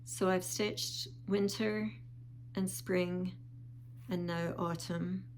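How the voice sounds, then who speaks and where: A woman talking casually in a show-and-tell vlog, calm and unhurried with small pauses, sounding a bit sniffly. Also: on the low side, a little hiss or hum, rough recording. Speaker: vlogger.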